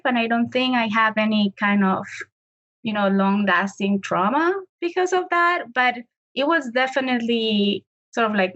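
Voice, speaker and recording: A woman's voice: mid-range voice, fairly bright tone, dull audio. A woman in her late thirties from Colombia with a Colombian Spanish accent, a Spanish speaker answering interview questions in a thoughtful way and restarting as she searches for words. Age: late 30s. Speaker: guest.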